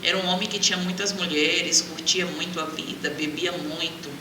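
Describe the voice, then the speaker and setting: A woman's voice: lowish voice, fairly even intonation, moderate pace. A middle-aged woman from Brazil with a Bahian accent, telling her life story as an interview guest. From Salvador, Bahia, Brazil. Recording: echoey, distant mic, clear background noise.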